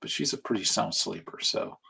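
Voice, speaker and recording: Guy talking low and soothing, gravelly husky voice, home stream late at night. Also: animated, a little fast. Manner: hushed, soothing delivery. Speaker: streamer teaching on Bible canon. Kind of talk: live-stream Bible lecture. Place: home at night, family asleep nearby. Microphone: streaming mic.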